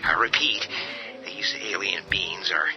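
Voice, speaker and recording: A man playing a president addressing the nation over the radio in a radio drama, hushed and hesitant, with many pauses. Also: deep voice. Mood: grave. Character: halting, grave male president addressing the nation. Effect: radio broadcast sound. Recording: dull lo-fi audio with faint music.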